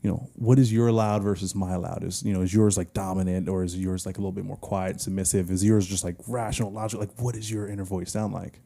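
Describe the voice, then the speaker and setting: A man's voice: deep voice, level tone, fast talker, creaky, few pauses, warm tone. A man co-hosting a casual podcast, musing through ideas loosely with many fillers like "like" and "you know". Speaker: podcast co-host. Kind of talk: casual chat.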